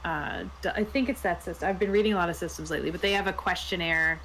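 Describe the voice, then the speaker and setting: A woman's voice: fairly low pitch, fast talker, fairly bright tone, a little hiss or hum, low-quality recording. A woman co-hosting a casual group discussion about tabletop roleplaying games. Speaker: show co-host. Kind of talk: casual chat.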